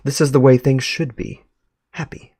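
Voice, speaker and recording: A man's voice: medium pitch, steady tone, normal speed, dark tone, slightly rough, dry recording, clean studio sound, lightly acted. A man reading letters aloud as a sentimental, well-off doctor and devoted adoptive father, in formal, earnest words. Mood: sentimental, content.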